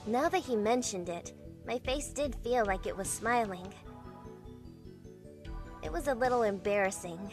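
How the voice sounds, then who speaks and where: A woman's voice: fairly high pitch, unhurried, long pauses, music in the background, lightly acted. A woman playing a bewildered young heroine, narrating her own story in an amateur visual novel dub. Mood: introspective. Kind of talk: first-person visual novel narration, fandub.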